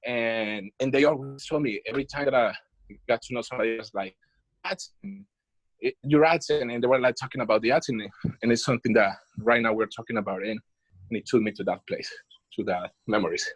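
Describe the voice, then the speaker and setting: A Colombian man, Spanish-speaking by birth, shares an experience with his online class. His English comes out hesitant, full of fillers and restarts. His voice is mid-pitched and sounds dry and close. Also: fairly quick, no room sound, rough recording. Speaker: student. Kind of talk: class discussion, telling a story. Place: video call.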